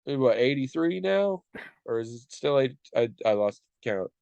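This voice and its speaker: A man's voice: mid-range voice, lively intonation, moderate pace, gravelly, a little mellow, clean tone, no echo, muffled recording. A man in his forties co-hosting a podcast. He is stuffed up and talks in a rambling, self-deprecating way with many restarts. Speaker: podcast co-host. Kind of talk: casual chat.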